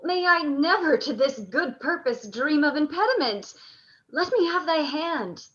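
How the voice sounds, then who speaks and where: Woman as proud noble general in a radio play, high bright voice, formal shakespeare talk, bit lo-fi. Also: sing-song, measured pace, exaggerated voice. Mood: solemn, resolved. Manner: formal, declamatory. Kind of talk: live radio play acting in Shakespearean verse.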